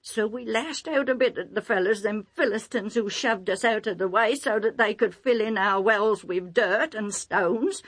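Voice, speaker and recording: Woman acting indignant rustic herdsman, pitched high. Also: moderate pace, few pauses, fairly bright tone, lightly acted. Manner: rustic uneducated speech, emphatic and breathless pleading. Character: rough, indignant, pleading male herdsman servant.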